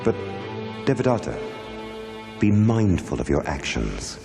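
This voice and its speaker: A man voicing a deep, echoing serene spiritual master. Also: sing-song, vocal fry, heavily acted. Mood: stern, calm. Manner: solemn, measured, authoritative. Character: calm, wise enlightened male spiritual teacher, firm and compassionate. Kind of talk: animated religious drama dialogue. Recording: echoey hall reverb, music behind.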